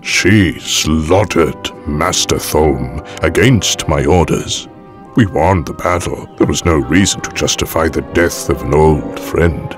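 An extremely deep, slow-spoken old aristocratic villain in an audio drama. He is formal, calm and manipulative, with silky menace, over background music. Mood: cold, measured. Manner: measured, formal, silkily menacing.

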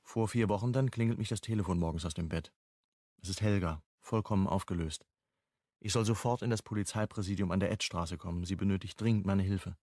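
An actor playing a sober first-person narrator in a crime radio drama, restrained, in short sentences. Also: male voice, low voice, brisk, darkish tone, no room sound, studio quality. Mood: sober, recounting.

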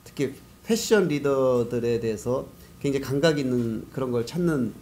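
Mellow-voiced man explaining in conversation. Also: mid-range voice, expressive, moderate pace, slight background noise. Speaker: guest, business network organizer. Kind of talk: conversational interview answers, explanatory.

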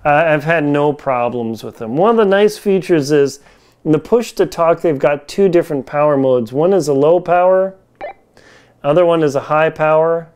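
Clear, higher-pitched man chatting through a review. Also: normal speed, darkish tone. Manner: informal, conversational, slangy. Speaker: product reviewer. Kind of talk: casual review chat to camera. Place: small home kitchen, indoors. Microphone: lapel mic.

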